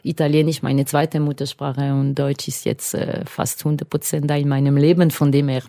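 A woman's voice: sits low, little pitch movement, moderate pace, dark tone. A woman from Alsace with a French accent, a guest singer chatting in a radio studio, searching for words and hesitating in German. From Alsace, France. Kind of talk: casual radio interview.

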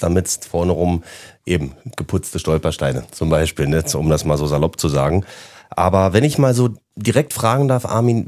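A middle-aged man co-hosting a podcast, talking in a relaxed, colloquial way with dry jokes. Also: deep voice. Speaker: podcast co-host. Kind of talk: casual podcast chat.

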